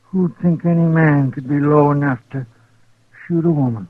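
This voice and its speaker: Man doing plaintive old western guy, high voice, folksy, muffled radio recording. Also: normal speed, close to an everyday voice. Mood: grieved. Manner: folksy, earnest rural speech. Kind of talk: 1950s radio western acting.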